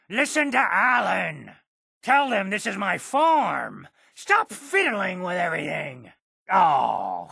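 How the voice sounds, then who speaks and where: A cantankerous old farmer from a video game. The voice is husky and crackles with fry, swinging widely in pitch as it grumbles. Delivery is slow, stubborn and full of resentment. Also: female voice, sharp tone, dry recording, clean studio sound, full character voice. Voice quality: raspy, creaky. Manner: indignant, grumbling. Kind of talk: video game character acting.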